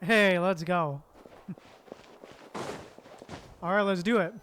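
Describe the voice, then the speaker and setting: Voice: medium pitch, slow pace, a bit bright, a little hiss or hum. A streamer giving casual, laid-back let's-play commentary and reading game text aloud. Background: game music.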